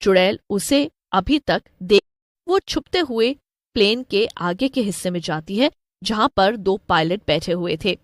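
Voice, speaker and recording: A woman's voice: mid-range voice, lively intonation, unhurried, no room sound, clean studio sound. A woman narrator giving a scripted narration of a cartoon story.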